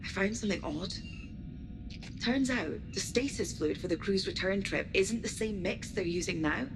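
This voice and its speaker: A woman's voice: medium pitch, normal speed, music in the background, natural voice. An actress playing a bored young woman space technician confiding in her mum in a video game log. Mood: uneasy, confiding.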